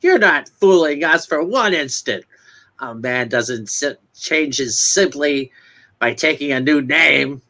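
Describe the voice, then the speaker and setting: A man's voice: fairly high pitch, animated, slow, pushed and bright, exaggerated voice. A man playing a hostile, suspicious small-town customer, curt and accusatory, in a dramatic comic-book reading. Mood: accusing.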